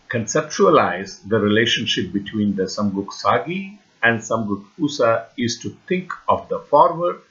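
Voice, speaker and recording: A man with a Tamil Indian accent, a native Tamil speaker, giving a scripted lecture in formal, deliberate phrasing. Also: medium pitch, fairly bright tone. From India, Tamil region. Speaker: researcher and lecturer. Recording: dull, lo-fi voice recording.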